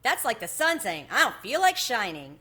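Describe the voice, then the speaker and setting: A woman voicing an excitable, pushy male mouse in a storybook, with a theatrical, exclaiming delivery. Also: a little high, moderate pace, voice pushed up, full character voice. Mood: indignant.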